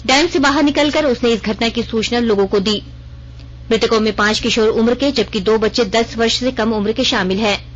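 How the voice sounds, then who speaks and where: A woman with a fairly high voice reads a scripted regional news bulletin. Her delivery is formal and measured, as a radio presenter's is. The recording sounds slightly muffled and band-limited.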